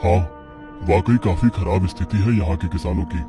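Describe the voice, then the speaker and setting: Guy doing a low voiced cartoon man, sure of himself, calculating, music behind. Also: normal speed, close to an everyday voice. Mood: persuasive. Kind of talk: Hindi moral-story cartoon acting.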